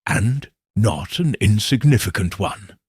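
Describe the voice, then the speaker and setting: A man voicing a composed, sharp-witted detective who talks low and measured. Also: expressive, breathy edge, no room sound, studio quality, exaggerated voice.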